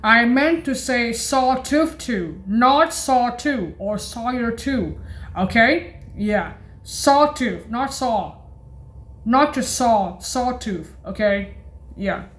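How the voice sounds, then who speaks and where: A woman presenting an informal keyboard sound demo in a halting, repetitive way, often correcting herself. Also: fairly high pitch, lively intonation. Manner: halting, repetitive, frequently self-correcting and apologizing. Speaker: keyboard demonstrator presenting organ voice effects. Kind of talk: informal music demo, announcing preset names.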